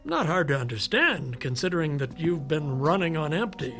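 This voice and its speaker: An actor playing a skeptical, fatherly doctor in a radio drama, speaking in a measured, patronizing way and coaxing with rhetorical questions. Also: on the high side, very animated, over music. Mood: firm persuasion. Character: male doctor, persuasive, skeptical, fatherly toward his patient.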